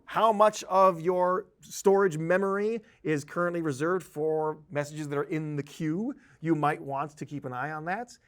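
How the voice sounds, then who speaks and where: A man giving an informal, conversational software tutorial, explaining things step by step as an instructor. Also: a little high. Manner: explanatory, informal, uses spoken examples. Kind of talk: conversational screen-share tutorial.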